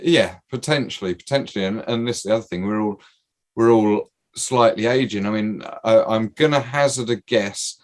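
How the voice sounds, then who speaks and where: A man's voice: lowish voice, normal speed. A man in his forties hosting a casual podcast chat, teasing his guests and swearing easily. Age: 40s. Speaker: podcast host.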